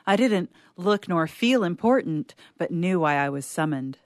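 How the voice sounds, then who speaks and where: A woman playing an uneasy doctor who recounts events in the first person, reading a suspense story in a measured, solemn way. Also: on the low side, expressive, dry recording, clean studio sound. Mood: grave, uneasy. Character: uneasy, secretly sinister doctor testifying as sole survivor.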